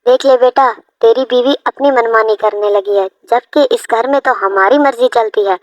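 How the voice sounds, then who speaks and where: Woman as high-pitched bossy mother-in-law. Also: moderate pace, no echo, close to an everyday voice, low-quality recording. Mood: commanding. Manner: scolding, imperious. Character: arrogant, bossy older mother-in-law in a family cartoon.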